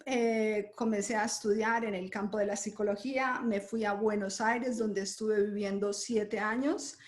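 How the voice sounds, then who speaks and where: A woman from Colombia, a podcast guest, telling her life story in a lively way with frequent laughter. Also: mid-range voice, measured pace. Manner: lively, laughs often while telling stories. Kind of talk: conversational interview storytelling. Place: lives in Germany.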